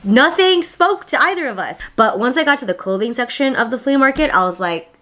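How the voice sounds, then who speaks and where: A woman's voice: sits high, expressive, brisk, a bit bright. A woman in her twenties talking casually to camera in a home vlog, upbeat and chatty with lots of filler words. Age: 20s. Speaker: vlogger. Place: small home studio room indoors.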